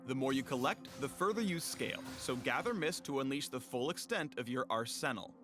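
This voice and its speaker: A man's voice: medium pitch, expressive, fairly quick, fairly bright tone. A man narrating a game spotlight in a scripted promotional style. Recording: music bed behind narration.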